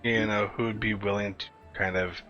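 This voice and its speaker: A man's voice: fairly low pitch, level tone, normal speed, pushed and bright, highs cut off, faint music behind. A man playing in an online tabletop game, chatting casually and joking crudely with free swearing.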